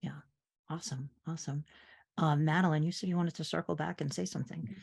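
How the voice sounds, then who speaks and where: A woman's voice: low voice, steady tone, quick pace, vocal fry, darkish tone, husky. A woman hosting a podcast in a casual, conversational style. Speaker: podcast host. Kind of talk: casual chat.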